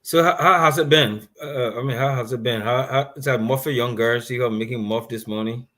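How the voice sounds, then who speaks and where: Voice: mid-range voice, fairly quick, fairly bright tone. Joking co-host on a live stream. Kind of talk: casual chat livestream.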